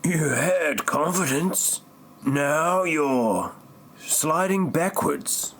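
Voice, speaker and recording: A man playing an insistent doctor who speaks in halting, broken phrases in an amateur parody audio drama. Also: a little high, fairly bright tone, slight background noise, exaggerated voice. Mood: coaxing.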